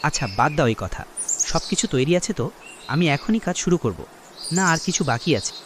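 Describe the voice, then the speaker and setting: Man acting mortuary worker, expressive pitch swings, direct, horror cartoon, clear insect noise. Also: fairly quick. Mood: businesslike. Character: businesslike village post-mortem worker, reassuring man.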